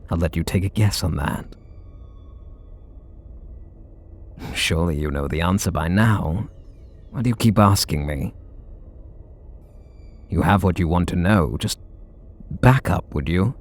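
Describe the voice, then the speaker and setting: A man playing a gravelly brooding super villain. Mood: flustered. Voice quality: low gravelly, husky voice. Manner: soft confiding delivery with blunt swearing. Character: brooding male super villain, gruff and guarded. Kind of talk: ASMR audio roleplay.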